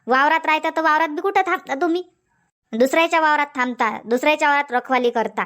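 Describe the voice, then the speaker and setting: A woman's voice: high-pitched, fast talker, a bit bright. A woman voicing a nagging middle-aged village farm wife in a comic cartoon, scolding. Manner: scolding, insistent, long rants. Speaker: cartoon voice actor.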